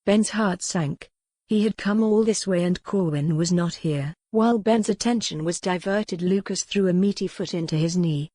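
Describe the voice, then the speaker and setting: A woman's voice: fairly low pitch, normal speed, dark tone, no room sound. Dry, close-sounding woman audiobook narrator. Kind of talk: scripted dramatic fiction narration.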